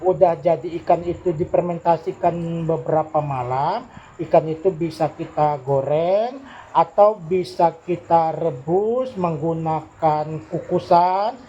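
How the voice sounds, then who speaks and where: Middle-aged male interviewee, slightly high-pitched, unhurried and halting explainer, noisy ambient recording. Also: warm tone, highs cut off. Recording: noisy recording with vehicle sounds behind.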